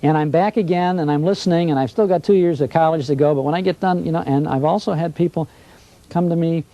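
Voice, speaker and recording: A man's voice: on the high side, quick pace, warm tone, lo-fi sound. A mature American man, a broadcaster, sharing anecdotes conversationally and acting out what others said to him. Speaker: radio broadcaster being interviewed. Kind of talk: conversational reminiscence.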